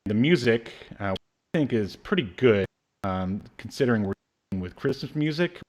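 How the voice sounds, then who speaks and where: A man's voice: mid-range voice, expressive, normal speed, a little mellow. A man in his thirties co-hosting a casual media review podcast, rambling hesitantly with dry asides. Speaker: podcast co-host. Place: small room at home. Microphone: video call setup.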